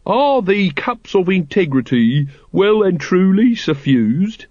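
A man's voice: high voice, very animated, takes it slowly, darkish tone, no echo, muffled recording, voice pushed up, full character voice. A man voicing a pompous, petty male leader of a secret brotherhood in a storybook, intoning grandly and peevishly. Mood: solemn intoning.

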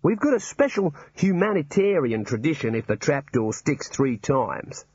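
A man voicing a breezy jailer for a storybook, husky and dry-sounding. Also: on the high side, sing-song, normal speed, a little mellow, dry recording, lo-fi sound, voice pushed up. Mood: jovial. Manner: breezy, matter-of-fact about grim things. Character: cheerful older male prison warder, jovial, chatty.

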